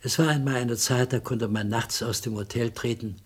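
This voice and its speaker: A man acting a polite little man in a radio crime drama. The voice is very breathy and husky at a middling pitch, the manner apologetic and hesitant, yet stubbornly principled. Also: fairly even intonation, moderate pace, natural voice. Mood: earnest, philosophical. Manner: apologetic, hesitant, softly philosophical.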